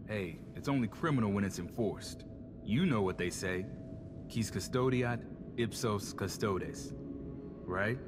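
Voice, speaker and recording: A man's voice: on the low side, expressive, measured pace, over music. A man playing a savvy, self-interested tech operative in a video game, talking in a wry, slangy, casual way. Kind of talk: video game cutscene acting.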